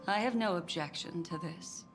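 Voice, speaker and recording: An actress playing a calm, serious woman strategist in a video game, formal and measured in her speech. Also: on the low side, gravelly. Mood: composed, grave. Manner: formal, measured, matter-of-fact. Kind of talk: game character acting. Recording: echoey hall reverb, music behind.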